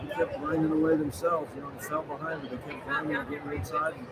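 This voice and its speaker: A man's voice: fairly high pitch, flat delivery, quick pace. A man working as a radio color commentator, giving conversational basketball postgame analysis and repeating his points from an arena. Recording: live broadcast with loud crowd and noise. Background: loud arena crowd.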